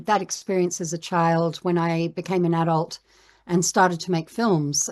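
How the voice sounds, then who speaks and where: Middle-aged woman filmmaker, lowish voice, thoughtful and measured, lots of pauses. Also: darkish tone. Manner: reflective, measured, with frequent pauses. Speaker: documentary filmmaker. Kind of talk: interview answers.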